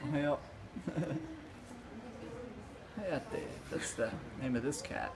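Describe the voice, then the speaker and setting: A man's voice: fairly high pitch, animated, very slow, crowd noise. Giggly young man vlogging on a phone. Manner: giggly, talks baby-talk to cats. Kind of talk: casual vlog. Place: indoor cat cafe. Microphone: phone held in hand. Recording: phone audio with room noise.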